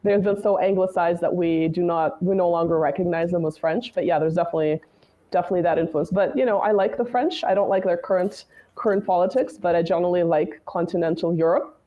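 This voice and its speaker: A woman's voice: lowish voice, steady tone, fast, muffled recording. A woman speaking as a guest commentator in a casual political discussion, talking at length and often adding "right?" and "you know". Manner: talkative, many "right?" and "you know" tags.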